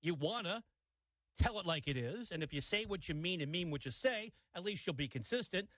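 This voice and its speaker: Lively, opinionated sports radio man. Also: high-pitched, fairly quick, dry recording. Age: older. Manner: opinionated, animated, wry asides. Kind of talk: sports talk radio monologue. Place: radio studio.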